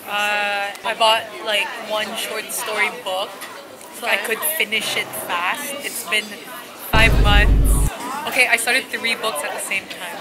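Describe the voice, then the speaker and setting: A woman's voice: mid-range voice, unhurried, over loud music, a loud crowd. A young woman chatting and joking with friends at an outdoor cafe table, laughing often. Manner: lively, laughs a lot, jokes. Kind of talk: casual chat.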